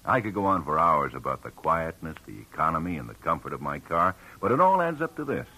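A man's voice: sits low, bright, forceful tone, breathy edge, exaggerated voice, rough recording. A man giving an enthusiastic, folksy endorsement in a 1950 radio commercial. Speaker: testimonial speaker in a car ad. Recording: old radio broadcast.

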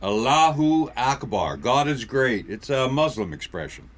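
An actor playing a terse older husband in an audio comedy, chiming in with short, matter-of-fact remarks. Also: male voice, mid-range voice, big pitch swings, unhurried. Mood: flatly informative. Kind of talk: naturalistic audio comedy drama.

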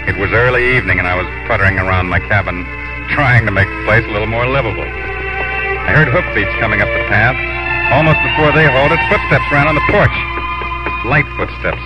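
An actor playing a determined young Texan home from war, earnest and resolute, in 1950s radio drama style. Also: male voice, lowish voice, brisk, lightly acted. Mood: quiet narration. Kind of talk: 1950s radio drama acting and first-person narration. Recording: dull 1950s radio recording, music behind.

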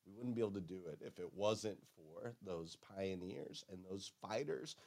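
A man's voice: sits low, lively intonation, moderate pace, creaky, darkish tone. A man hosting a live-stream talk show, upbeat and enthusiastic, often tagging on "man" and "right?". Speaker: show host.

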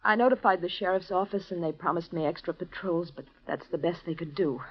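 A frightened, pleading radio-drama wife. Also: female voice, on the low side, fairly quick, slightly rough. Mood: defensive. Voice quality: breathless, pleading, stammering delivery. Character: frightened, desperate young wife in danger. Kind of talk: 1950s radio drama acting. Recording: dull old radio broadcast recording.

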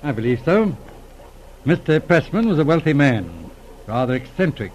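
An actor playing an astute, businesslike police inspector in a 1940s radio drama, composed and probing in manner. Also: male voice, fairly high pitch, sing-song, moderate pace, darkish tone. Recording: noisy old radio recording.

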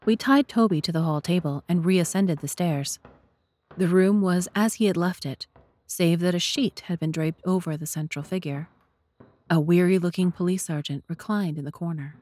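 A woman's voice: lowish voice, moderate pace, a little mellow. A woman narrator reading a scripted story.

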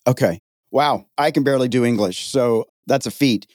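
A man hosting a podcast interview, animated and jokey with a comic's timing. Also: mid-range voice, normal speed, dry recording, clean studio sound. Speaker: podcast host.

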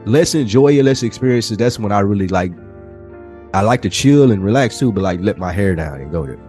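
Man in his thirties, lowish voice, podcast co-host, relaxed storytelling, animated, freely profane, faint music underneath. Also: fairly quick. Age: 30s. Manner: animated, heavy casual swearing. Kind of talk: casual chat, storytelling.

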